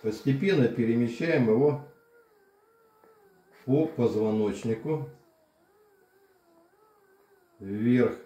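An older man casually demonstrating a massager at home, explaining plainly with pauses and fillers. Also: medium pitch, warm tone. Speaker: channel host reviewing a massager. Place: home room, indoors. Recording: echoey room.

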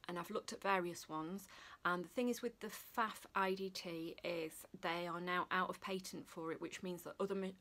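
A woman's voice: fairly low pitch, a bit bright. A woman in her forties with a Yorkshire accent and a slight lisp, chatting casually to camera as a vlogger. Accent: Yorkshire English. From Sheffield, northern England. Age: 40s. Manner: chatty, informal. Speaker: sewing vlogger. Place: small furnished room indoors.

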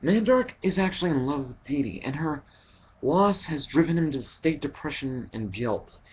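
A young man reading scripted dramatic lines as an amateur voice actor, haltingly and with repeated words, into a headset microphone at home. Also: fairly high pitch, sing-song, slightly rough. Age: young adult. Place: wood-panelled living room at home. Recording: dull headset audio with background hiss.

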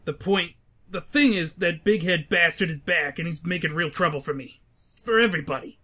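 A man's voice: high-pitched, sharp tone, heavily acted. A man playing an uneasy mobster in a comic book dub. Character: anxious, pleading male crime boss and mob henchmen.

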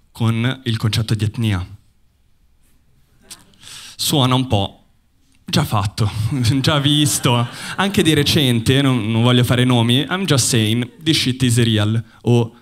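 Young man, from Bologna, stand-up comic, deadpan, ironic, handheld mic, theater stage, middle pitch. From Bologna, Italy. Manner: deadpan, ironic delivery with pauses for laughs. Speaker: stand-up comedian. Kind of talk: stand-up comedy set. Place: theater stage before a live audience.

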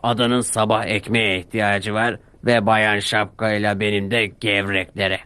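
A bossy railway controller from a children's cartoon, voiced by a male narrator. He is a stout, stern man who hands out orders in a measured, commanding tone, over light music. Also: on the low side, little pitch movement, pushed and bright, clear, resonant tone.

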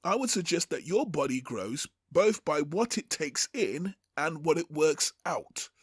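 A man teaching from the Bible as host, in a measured, deliberate and formal way. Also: fairly high pitch, animated, husky, dry recording, clean studio sound. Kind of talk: Bible teaching over slides, with prayer.

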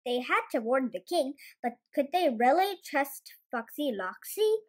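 A young female storyteller reads a children's tale. The voice is high and very lively in its melody, with expressive delivery throughout. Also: unhurried. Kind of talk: children's bedtime story reading.

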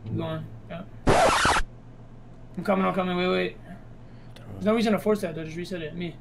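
A man's voice: high-pitched, steady tone, a little fast, creaky, noisy background. A man streaming live gameplay, talking to his teammates in profane, slangy speech. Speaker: gaming streamer. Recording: loud music under the voice. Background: loud music.

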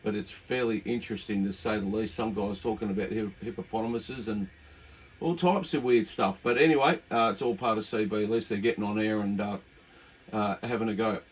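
An older man with an Australian accent giving easygoing, halting, amused commentary on his radio hobby. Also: on the low side, steady tone, slightly rough. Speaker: radio hobbyist presenting his own video. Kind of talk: casual unscripted commentary. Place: indoors at a home radio desk. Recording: noisy room with radio static. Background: CB radio hiss and static.

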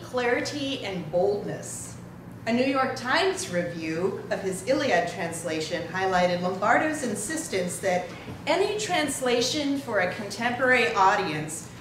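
A woman giving a prepared, formal introduction speech to an audience, with careful phrasing. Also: mid-range voice. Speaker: event introducer. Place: indoor hall with an audience. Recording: very echoey hall, distant, noisy.

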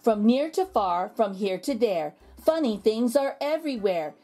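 A woman with a fairly high voice reads a storybook for children. She goes slowly, with a deliberate, expressive rhythm. Music plays behind her. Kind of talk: children's read-aloud. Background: background music.

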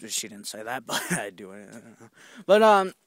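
A man's voice: medium pitch, very animated, unhurried. A young man walking a wooded trail, telling a rambling, profane story into a handheld phone full of fillers and restarts. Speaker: vlogger. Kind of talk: rambling walk-and-talk storytelling. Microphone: handheld phone camera while walking.

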